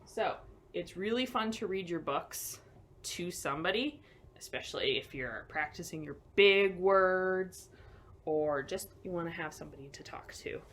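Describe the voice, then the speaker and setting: Expressive woman reading aloud to children. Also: lowish voice, measured pace. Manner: expressive, with simple explanations and asides to young listeners. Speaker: children's librarian storyteller. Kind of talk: picture-book read-aloud for children with chatty asides.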